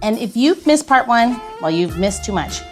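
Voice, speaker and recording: A woman's voice: fairly high pitch, expressive, moderate pace, a little mellow, soft background music. A woman hosting a casual podcast conversation in a playful, bantering way. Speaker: podcast host.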